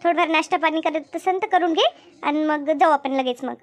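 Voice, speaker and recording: A woman's voice: high voice, fairly quick, close to an everyday voice. A woman voicing a chatty, bossy yet easily hurt village woman in a cartoon comedy, with rustic, repetitive Marathi talk in a rural Maharashtra accent. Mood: friendly, directing.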